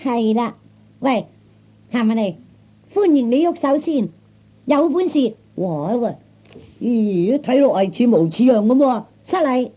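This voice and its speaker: A man's voice: very high voice, animated, unhurried, pauses often, warm tone, heavily acted. A man voicing a feisty, haughty young woman with a Cantonese accent, in old radio comedy style. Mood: boastful. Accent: Cantonese, Hong Kong or Guangdong. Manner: sassy, scolding, self-assured. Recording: dull old 1960s comedy record.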